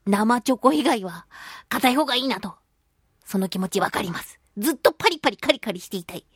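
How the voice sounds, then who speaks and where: A woman hosting a chatty radio show on her own, talking in a playful, expressive, giggly way as she chats and reads listener mail. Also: a little high, a little fast, husky, no echo, clean studio sound. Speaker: radio show host, voice actress.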